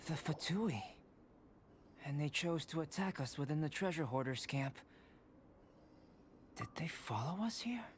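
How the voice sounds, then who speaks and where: An actor playing a composed, scholarly young male alchemist in a fantasy game, speaking in a measured, articulate and formal way. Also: a little high, lively intonation, background noise. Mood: wary. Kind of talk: scripted fantasy game dialogue. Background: music.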